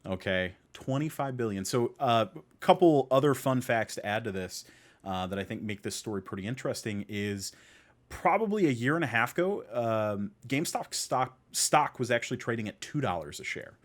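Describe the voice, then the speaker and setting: A man's voice: medium pitch, animated, moderate pace. A man hosting a podcast in a casual, conversational style, stressing key points by repeating them. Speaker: podcast host.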